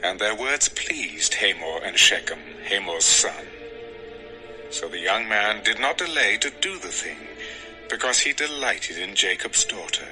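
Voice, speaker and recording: A man's voice: lowish voice, expressive, a little slow, music in the background, poor audio. A man narrating a dramatized scripture story from a script.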